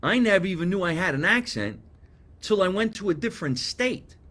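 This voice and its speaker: A man with a New Jersey accent hosting a casual live podcast, chatting and reading viewer comments in a rambling, animated way. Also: on the high side. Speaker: podcast host.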